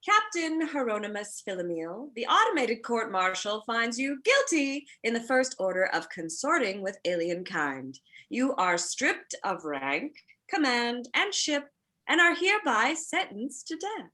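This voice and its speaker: A woman's voice: a little high, big pitch swings, a little slow, bright, forceful tone, full character voice. A woman playing a stern, ruthless fleet commodore, cold and formal, in a script table read. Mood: cold, imperious.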